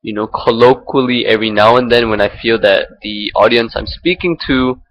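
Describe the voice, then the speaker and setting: A young man from Singapore thinks out loud into his laptop's built-in mic. He talks without a script, in a rambling, hesitant way, circling back with fillers and restarts. His voice sits at an ordinary middle pitch for a man. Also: moderate pace, lo-fi sound. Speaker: vlogger. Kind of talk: unscripted personal reflection.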